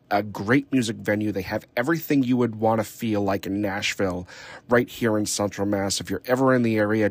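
A man hosting a casual podcast, hoarse and raspy from a head cold, rambling loosely with lots of fillers and casual swearing. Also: lowish voice, no room sound. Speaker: podcast host. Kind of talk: casual off-the-cuff podcast chat.

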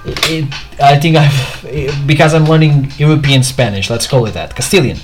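Man, mid-pitched, halting solo vlog, faint music behind. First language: Portuguese. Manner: hesitant, many fillers and restarts. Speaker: podcast host.

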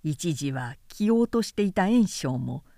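A woman narrating a literary audiobook as a professional, with measured, carefully articulated delivery. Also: fairly low pitch, animated, vocal fry, warm tone, dry recording, studio quality.

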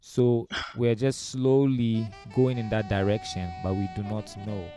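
A man's voice: lowish voice, flat delivery, measured pace, music in the background. A man with a Ghanaian accent, a musician telling anecdotes in a discursive way as an interview guest. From Ghana, born in Romania. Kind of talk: conversational interview storytelling.

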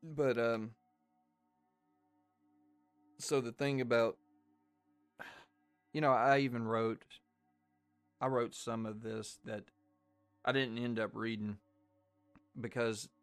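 A man with a mix of Southern US and Irish accent, a laid-back podcast host with a voice deepened by a cold, talking bluntly. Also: steady tone, takes it slowly, long pauses, clear, resonant tone, dry recording, faint music behind. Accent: Southern US mixed with Irish. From Arkansas, USA. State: voice deeper than usual from a cold. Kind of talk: casual podcast chat.

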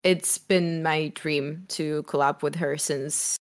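A young woman talks loudly and freely, her strong voice sitting low for a woman. She rambles a little with fillers as she tells stories about performing. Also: steady tone, slow pace. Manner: loud, strong voice. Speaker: musician guest. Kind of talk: casual interview chat.

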